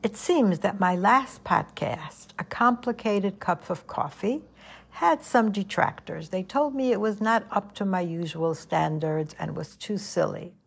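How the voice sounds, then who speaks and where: An older woman hosting a podcast, talking to her listeners in a gentle, earnest, motherly way with a soothing voice. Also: mid-range voice, expressive, unhurried, gravelly, breathy edge. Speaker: podcast host. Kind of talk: reflective monologue podcast.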